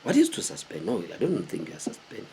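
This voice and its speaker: Husky South African man telling a story. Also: lowish voice, quick pace. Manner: animated, digressive, repeats words for emphasis. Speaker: vlogger telling stories. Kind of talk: casual storytelling to camera. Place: small indoor room with a cloth backdrop.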